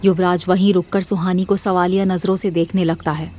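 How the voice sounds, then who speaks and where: A woman narrating a scripted horror story in a measured, dramatic storytelling manner. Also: on the low side, flat delivery, dark tone, faint music behind.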